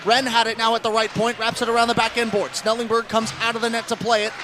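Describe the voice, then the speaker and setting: A man giving live ice hockey radio commentary as play-by-play announcer, excitable and ready to raise his voice on chances. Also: very high-pitched, fast, noisy background.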